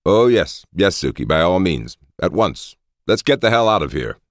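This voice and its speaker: Audiobook role: jovial, theatrical lord, played by a male narrator, raised pitch, lively swings, exclamatory delivery. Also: normal speed, vocal fry, no echo, studio quality, exaggerated voice. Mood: happy. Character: flamboyant, mock-outraged lord, jovial old friend. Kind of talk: audiobook character voice.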